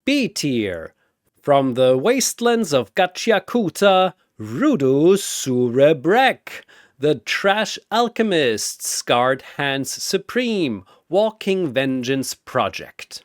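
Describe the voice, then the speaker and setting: A man's voice: on the high side, sing-song, slow pace, no echo, clean studio sound. Man putting on a grandiose, swooping arena announcer god. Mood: booming, hyped. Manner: grandiose, theatrical. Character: flamboyant four-armed godlike arena announcer, sardonic showman. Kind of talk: ring-announcer fighter introductions.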